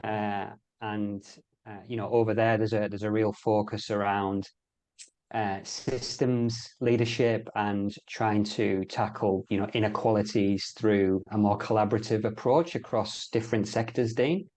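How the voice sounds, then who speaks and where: A man's voice: on the low side, steady tone, unhurried, a little mellow. A middle-aged man with an English accent, speaking as an interview guest in casual chat, with hesitant phrasing and repeated words. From England. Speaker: interview guest in sport and health sector.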